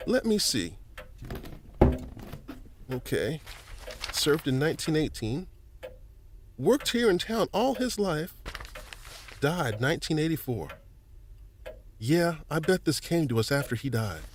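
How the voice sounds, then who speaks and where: A man's voice: on the high side, very animated, takes it slowly, pauses often, slight background noise, exaggerated voice. A man playing a friendly, folksy middle-aged museum volunteer in a family audio drama, chatty and fond of explaining things. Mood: intrigued, chatty. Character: friendly, folksy older male museum volunteer and newspaper editor.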